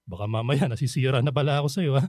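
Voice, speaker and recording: An actor playing a kindly, bashful middle-aged Filipino bachelor in a radio drama, talking gently and considerately. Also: male voice, mid-range voice, fast, no echo, clean studio sound, close to an everyday voice. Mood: bashful, amused.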